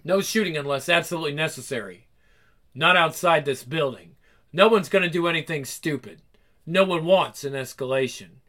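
A smug, composed man of power, voiced by a male comic reader. He talks formally and with cold condescension, in a bright, firm voice that sits lower than a shout and never loses control. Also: moderate pace.

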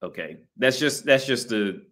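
An American man in his thirties gives an unscripted talk to camera. He rambles, restarts his sentences and leans on fillers, and he swears bluntly and freely. His voice sits at an ordinary middle pitch for a man. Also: animated, unhurried, fairly bright tone. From United States. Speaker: vlogger. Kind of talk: casual monologue to camera.

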